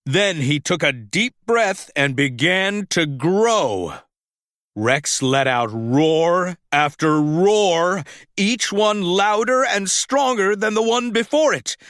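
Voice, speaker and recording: Guy reading a kids storybook, slow and dramatic, voice on the higher side, really animated. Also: no echo, clean studio sound. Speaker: storybook narrator. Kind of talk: expressive scripted children's story narration.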